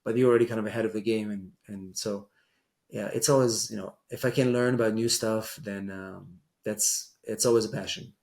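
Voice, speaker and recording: A man's voice: on the low side, fairly even intonation, fairly quick, gravelly, darkish tone. A middle-aged man with an Israeli accent, an immigration attorney answering interview questions with frequent ums and restarts. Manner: hesitant, many fillers and restarts. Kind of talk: casual interview conversation.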